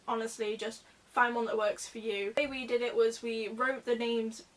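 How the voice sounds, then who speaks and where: High-voiced woman vlogger, slightly distant mic. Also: fairly bright tone. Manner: chatty, rambling, self-correcting. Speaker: book vlogger, host. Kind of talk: casual chat to viewers.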